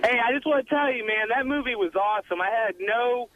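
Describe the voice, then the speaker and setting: High-pitched man on muffled noisy call. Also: fairly quick. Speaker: call-in listener. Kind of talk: casual phone-in chat.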